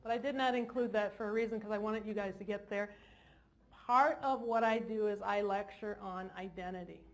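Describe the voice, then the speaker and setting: A woman's voice: medium pitch, steady tone, moderate pace. A woman clinician giving an informal lecture in a large hall, with jokey, self-deprecating asides. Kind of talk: informal lecture to a live audience.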